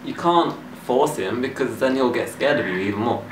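A man's voice: medium pitch, lively intonation, a little fast. A young man in a casual phone chat, giving brief replies and playing along. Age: young adult. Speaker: prank caller. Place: small dark indoor room. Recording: distant, echoey, noisy room recording.

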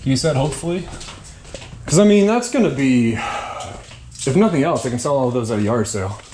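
A man's voice: medium pitch, animated, moderate pace. A man reselling storage unit finds, thinking aloud with wry asides as he digs through boxes, filmed on a head-mounted camera in a metal storage hallway. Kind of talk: casual vlog narration while unboxing. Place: indoor self-storage hallway, metal walls. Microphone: head-mounted action camera. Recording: noisy, crinkling and rustling behind.

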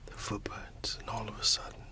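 A man performing an ASMR roleplay as a laid-back, teasing flatmate, speaking in a hushed, casual, slangy way with repeated phrases. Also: fairly quick. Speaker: ASMR performer playing a roommate. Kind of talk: ASMR roleplay, whispered storytelling and one-sided chat.

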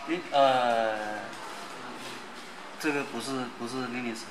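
A man with a Chinese accent and a medium-pitched voice. He explains hesitantly, searching for words, with clear office noise behind him. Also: slow pace. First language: Mandarin Chinese. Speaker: software engineer. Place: open-plan office cubicle. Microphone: handheld camera nearby.

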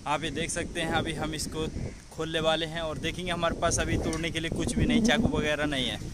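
A man's voice: on the high side, little pitch movement, brisk. A young man in his twenties talking casually to viewers in a travel vlog, filmed on a phone held at arm's length outdoors on a beach. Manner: chatty, addresses viewers as friends. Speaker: vlogger. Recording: loud outdoor noise.

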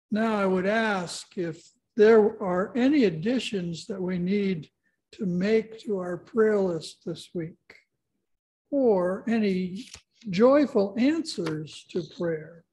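An older man in his sixties speaks as a pastor leading a service. His voice is high for a man, and he goes slowly through prayers and invitations in solemn, measured phrases. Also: a little mellow, poor audio. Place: church sanctuary.

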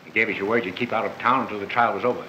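Casting: scheming defense attorney, lowish brisk delivery, bright edge, vintage film drama. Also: male voice, fairly even intonation. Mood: urgent, determined. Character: determined, scheming male defense lawyer. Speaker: actor playing a defense attorney. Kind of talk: old film drama acting. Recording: dull, roomy old film soundtrack, faint noise.

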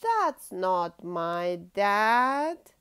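Eager young female polar bear cub for a storybook, played by a woman: exclamatory, very animated, drawn out. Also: medium pitch, very slow, smooth, full character voice. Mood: emphatic. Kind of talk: expressive picture-book read-aloud.

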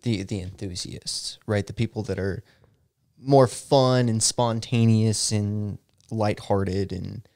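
A young man with a lowish, gravelly voice chatting on a podcast. He talks in an animated way, laughs easily and keeps checking in with "right?". The sound is dry and close on a boom-arm mic in a small room. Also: slow, dry recording. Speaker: podcast co-host. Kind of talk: casual chat. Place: small home studio room.

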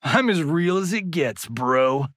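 A man's voice: a little high, expressive, takes it slowly, fairly bright tone, no echo, clean studio sound. An actor playing a cocky, wisecracking male Hollywood action star in a fighting video game, with a smug, flippant, showboating delivery. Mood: teasing, flippant.

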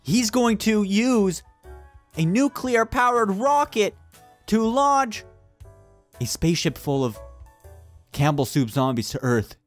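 A young man giving playful, improvised gameplay roleplay commentary in an excitable, mock-dramatic way. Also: sits high, takes it slowly, long pauses, soft background music. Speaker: gaming video player.

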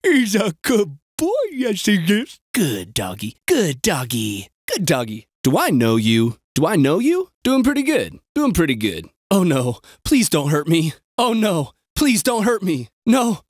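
A man's voice: sits high, unhurried, few pauses, no room sound, studio quality, exaggerated voice. An actor playing a snarky, crude, jumpy young male bystander in a video game, with a sarcastic, exaggerated delivery. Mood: casual, then panicked. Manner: sarcastic, exaggerated, swinging from flat to frantic.

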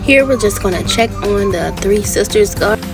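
A woman's voice: mid-range voice, expressive, normal speed, loud music behind the voice. A woman narrating a casual garden tour as channel host, speaking informally and gushing over small details.

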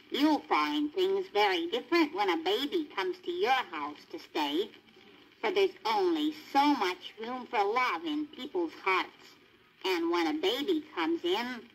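A woman voicing a cocky, streetwise male stray dog in a storybook, with a jaunty, swaggering way of talking and a lowered voice. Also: husky, exaggerated voice, low-quality recording.

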